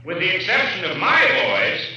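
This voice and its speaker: A man's voice: sits high, wildly swooping pitch, moderate pace, bright, forceful tone, dull audio, full character voice. A man acting an indignant, self-important club director, insistent and pompous, with an American accent in 1950s radio drama style. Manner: insistent, pompous, repeats himself. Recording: echoey hall, far from mic, faint noise.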